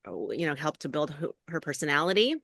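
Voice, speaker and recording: A middle-aged woman with a bright, forceful voice talks loosely about her craft as an interview guest. She rambles and fills her sentences with "like" and "you know". The sound is dry and close, with no room around it. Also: low voice, sing-song, fast talker, gravelly, no room sound. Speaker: actor and acting coach, guest. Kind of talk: casual interview talk.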